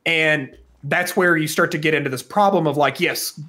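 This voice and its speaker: A man's voice: fairly high pitch, moderate pace, pushed and bright. A man co-hosting a podcast, talking casually and giving opinions with emphatic stress on key words. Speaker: podcast co-host.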